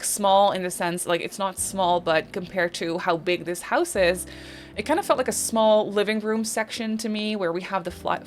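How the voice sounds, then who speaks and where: A young Dutch woman with a mid-pitched voice talks in a loose, rambling way, full of "like" and "um". It's a relaxed voice-over for a game build video, friendly and unscripted. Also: a little hiss or hum. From Netherlands. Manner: chatty, rambling, many "like" and "um" fillers. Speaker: Sims builder and YouTuber. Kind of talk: casual voice-over commentary on a speed build.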